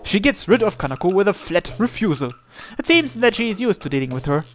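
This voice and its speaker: A game narrator translating and reading visual novel text aloud, with some hesitant pauses. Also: medium pitch, big pitch swings. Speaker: video game playthrough narrator. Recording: game music behind the voice. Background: visual novel music and Japanese voice acting.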